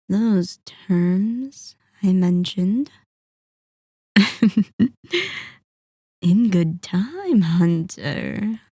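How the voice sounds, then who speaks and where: Woman performing a sadistic female vampire, seductive, mellow dark tone, extremely slow delivery, polite menace, teasing breathy murmurs, ASMR roleplay. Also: medium pitch, no room sound, studio quality. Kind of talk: ASMR roleplay, scripted character acting.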